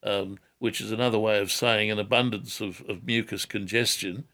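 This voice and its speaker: An older man answering callers on a radio health show, measured and careful, often repeating words and hedging before giving advice. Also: on the low side, steady tone, pushed and bright.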